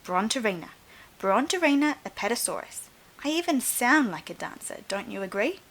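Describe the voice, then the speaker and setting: A woman's voice: a little high, very animated, moderate pace, fairly bright tone, heavily acted, rough recording. A woman voicing an earnest, wistful, determined young female dinosaur in a storybook. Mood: hopeful.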